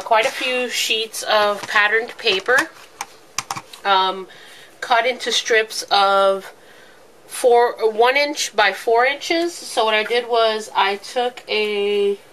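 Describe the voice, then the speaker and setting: A woman's voice: medium pitch, noisy background. A woman with a stuffy, congested voice from a cold, chatting casually through a step-by-step craft tutorial with plenty of ums. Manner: chatty, lots of ums, talks while working with her hands. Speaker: craft video host.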